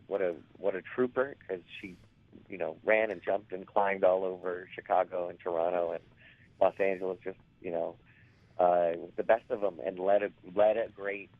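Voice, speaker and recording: A man in his forties with a raspy, hoarse voice, telling stories as an interview guest and rambling with many fillers and restarts. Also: sits low, dry recording. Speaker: actor guest. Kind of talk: casual interview storytelling. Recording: tinny phone line.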